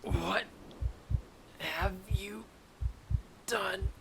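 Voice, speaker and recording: A man playing a frightened but defiant young traveler in a dramatic audio roleplay, with breathless, halting, intense delivery. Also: high voice, creaky, exaggerated voice. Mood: agonized, vengeful. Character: frightened, defiant young male traveler turned half-vampire. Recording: noisy track with storm ambience.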